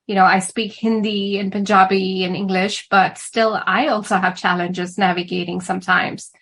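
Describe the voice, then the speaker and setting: A woman answers as a guest on a radio interview, explaining things steadily and in a measured way. She is a travel and food writer from India. Her pitch is in the everyday middle range. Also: little pitch movement, a bit bright. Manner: measured and explanatory, with some fillers.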